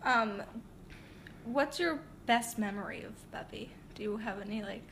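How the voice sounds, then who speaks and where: A young woman interviews an older relative. Her voice sits a little high, and her phrasing is relaxed and loose, with many "like"s. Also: measured pace, slight background noise. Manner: casual, uses "like" often. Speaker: interviewer, family member. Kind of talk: casual interview questions.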